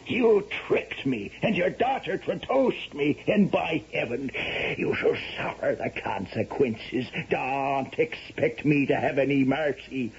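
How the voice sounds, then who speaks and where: An actor playing a merciless, haughty lord in a 1970s radio drama, husky and slowly menacing. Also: male voice, medium pitch, lively intonation, heavily acted. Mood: cold menace. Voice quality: husky, raspy. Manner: slow, menacing, pompous. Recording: muffled vintage radio broadcast.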